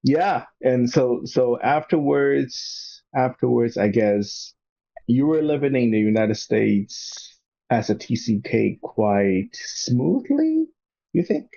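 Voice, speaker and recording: A man's voice: mid-range voice, animated, slow, gravelly, warm tone, dull audio. A man co-hosting a podcast, chatting casually, often restarting and repeating words as he goes. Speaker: podcast co-host. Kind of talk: casual conversation.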